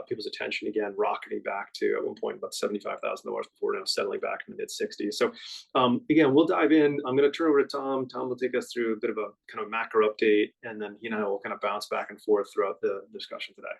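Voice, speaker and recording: A man with a low, gravelly, husky voice hosts a market update webinar. He talks in a halting, unscripted way, full of fillers and restarts. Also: rough recording. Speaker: financial advisor presenting a market update. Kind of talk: webinar presentation.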